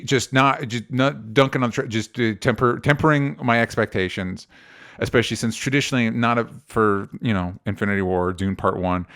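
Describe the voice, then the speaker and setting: A man's voice: mid-range voice, fairly bright tone. Man chatting casually, often restarting his sentences. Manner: hesitant, self-correcting, circles back mid-sentence. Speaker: podcast co-host reviewing movie trailers. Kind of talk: casual movie chat.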